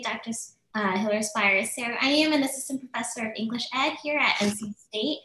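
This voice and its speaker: A woman, an assistant professor, giving earnest, measured prepared remarks as a panelist on a video call webinar. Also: a little high, a bit bright, reverberant, rough recording. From United States.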